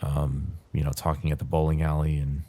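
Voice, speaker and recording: A man casually rambling while playing a game, his throat dry and tired, with a calm, soothing way of talking. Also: rumbling low, a little fast. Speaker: gaming channel host. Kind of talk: casual rambling commentary over gameplay.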